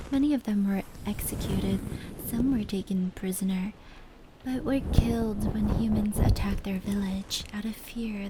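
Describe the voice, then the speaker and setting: Hushed, slow-speaking female yokai storyteller played by a woman. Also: medium pitch, close to an everyday voice. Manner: slow, hushed, often slipping into whispers. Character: gentle female demon yokai storyteller, ancient and caring. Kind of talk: soft-spoken ASMR roleplay storytelling. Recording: steady background noise of rain and brushing. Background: indoor rain patter and brushing sounds.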